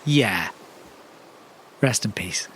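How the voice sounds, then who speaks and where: A man's voice: on the low side, lively intonation, drawn-out words, fairly bright tone, slight background noise. A man playing a tabletop roleplaying game, improvising dialogue in character with dramatic earnestness.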